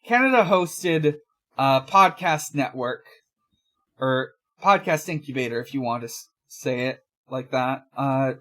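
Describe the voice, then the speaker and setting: A podcast co-host chatting casually in a halting, rambling way. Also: medium pitch, a bit bright.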